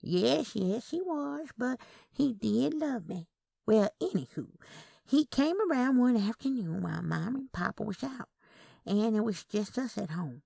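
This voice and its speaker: Lady doing a wistful little old aunt in her 80s, soft sing-song, higher pitched, gothic storybook stuff, dry close sound. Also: lively intonation, measured pace, gravelly, no room sound, heavily acted. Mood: wistful, insistent. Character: frail, soft-spoken elderly twin aunt, wistful and wronged. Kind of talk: Southern Gothic audio storytelling.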